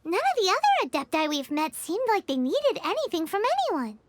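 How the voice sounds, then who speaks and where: A woman voicing a tiny, chirpy fairy girl companion in a video game, with a squeaky, childlike, exclaiming delivery. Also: sing-song, a little fast, noisy background. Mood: curious, perky. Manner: childlike, exclaiming, refers to self in third person. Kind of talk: video game character dialogue. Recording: loud game music behind. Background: loud tinkling game music.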